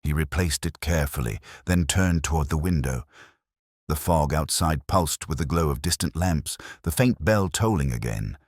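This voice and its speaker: A man narrates a scripted mystery in a very deep voice. His delivery is dramatic and measured, with wide swings of intonation and a dry, close sound. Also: animated, no room sound. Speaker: audiobook narrator.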